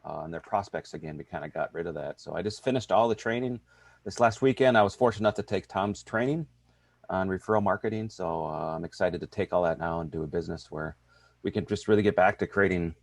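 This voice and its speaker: A man in his fifties with a very deep voice talks in an upbeat, rambling way on a video call. The sound is dry, with no room echo at all. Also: fast talker, gravelly. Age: 50s. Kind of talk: self-introduction.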